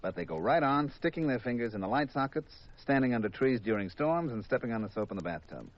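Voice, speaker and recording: A man's voice: medium pitch, animated, quick pace, lightly acted. An actor playing a cynical, long-winded insurance man in a 1950s radio drama, with an exasperated lecturing manner. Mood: exasperated, sardonic. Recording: muffled vintage 1950s radio recording.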